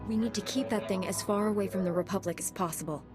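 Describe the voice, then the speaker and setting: A woman's voice: medium pitch, fast talker, music in the background, close to an everyday voice. A woman playing a resolute, heroic young Jedi knight in a video game, speaking in a firm, composed way. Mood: determined. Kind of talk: video game character acting.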